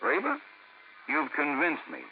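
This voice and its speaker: A tinny old radio recording with faint noise. a folksy sergeant husband, slightly high, with hugely swooping pitch and an exaggerated skit delivery. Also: male voice, huge pitch swings, unhurried. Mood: folksy, agreeable. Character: folksy, stubborn but agreeable sergeant husband. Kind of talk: 1950s radio safety spot, domestic skit.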